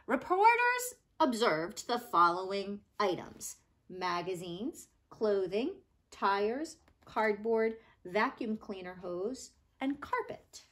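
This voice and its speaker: A woman narrating a read-aloud story expressively, with dramatic pauses and emphatic stresses. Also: mid-range voice, sing-song, fairly bright tone. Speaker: reader of a children's non-fiction book.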